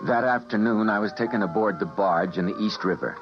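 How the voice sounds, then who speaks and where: Weary doctor played by a man, measured and grim, lowish voice, muffled 1950s radio recording. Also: loud music behind the voice, natural voice. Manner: somber, measured, reflective. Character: grim weary male doctor narrator, middle-aged. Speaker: narrator and lead character. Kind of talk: 1950s radio drama acting. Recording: dull lo-fi old radio recording, hissy.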